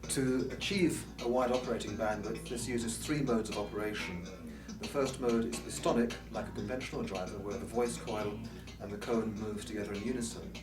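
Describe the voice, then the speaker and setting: Low-voiced man presenting in a roomy space. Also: steady tone, fairly quick. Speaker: loudspeaker exhibitor presenting products. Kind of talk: informal technical product presentation. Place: room at an audio show. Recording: roomy, distant mic, music behind.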